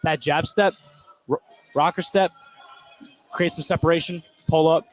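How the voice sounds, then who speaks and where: Man, American accent, color commentator, basketball analysis, casual and slangy, moderately high pitch. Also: steady tone, measured pace, faint music behind, low-quality recording. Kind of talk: live basketball analysis, conversational. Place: indoor high school gym.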